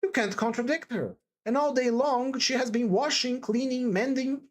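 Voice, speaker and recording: A woman voicing a timid, distressed young woman in an audiobook, pleading fervently with halting repetitions. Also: high-pitched, voice pushed up. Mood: desperate, pleading. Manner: halting, stammering, breathless repetitions and emphatic exclamations. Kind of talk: dramatised audiobook character dialogue.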